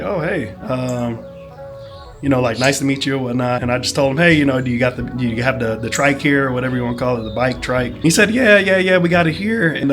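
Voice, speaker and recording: Hoarse guy rambling over music. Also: medium pitch, a bit bright. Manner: rambling, full of fillers like "whatnot" and "you know". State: sick and hoarse. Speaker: motorcycle vlogger. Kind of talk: casual storytelling rant.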